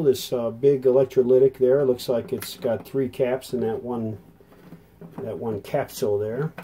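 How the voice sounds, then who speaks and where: A man's voice: medium pitch, dark tone, slight background noise. A man talking casually through a hands-on repair as the host, in an easygoing, folksy way, thinking aloud as he works. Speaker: host of a radio repair channel. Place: indoor workshop at a bench.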